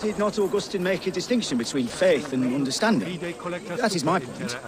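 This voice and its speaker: An actor playing an earnest, scholarly monk in a video game, hesitant, pedantic and easily flustered. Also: male voice, sits high, animated, brisk, a crowd in the background. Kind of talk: video game cutscene acting.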